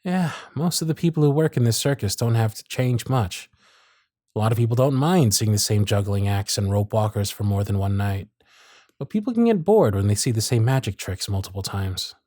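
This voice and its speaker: A man playing a charming, gentle circus magician who is smitten and privately anxious, speaking tenderly and softly in an ASMR romance roleplay. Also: on the low side, brisk, dry recording, clean studio sound, natural voice. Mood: warm, charming. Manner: soft-spoken, flattering, tender, with hushed asides. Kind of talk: ASMR romance roleplay with intimate inner monologue.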